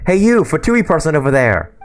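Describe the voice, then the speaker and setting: A hoarse man reading aloud the lines of a troubled young female soldier who is searching for her lost brothers. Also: on the high side, big pitch swings, brisk, soft background music, pitched above the performer's own voice. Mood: distressed. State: hoarse from a sore throat.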